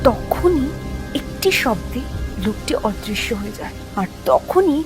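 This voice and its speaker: A woman's voice: sits high, sing-song, unhurried, music in the background. A woman narrating a scripted horror cartoon story.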